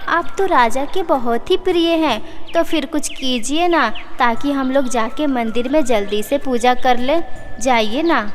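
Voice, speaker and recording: A woman's voice: sits high, a little slow, big-room sound, a little hiss or hum. A woman voicing a nagging, coaxing village wife and mother in a children's cartoon, plaintive and wheedling. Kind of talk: Hindi children's cartoon dubbing. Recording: faint music behind.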